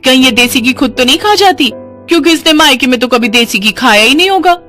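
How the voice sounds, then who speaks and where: Spiteful rich daughter-in-law acted by a woman storyteller: raised pitch, whiny, scheming, family story drama. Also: animated, brisk, sharp tone, faint music behind. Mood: whiny, accusing. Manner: whiny complaining, pointed.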